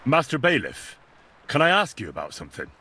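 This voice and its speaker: Husky, courteous young lad. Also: male voice, medium pitch, wildly swooping pitch, fairly quick, sharp tone, exaggerated voice. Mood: polite, wry. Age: young adult. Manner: courteous, inquisitive. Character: polite, steady young blacksmith's lad. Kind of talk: video game voice acting.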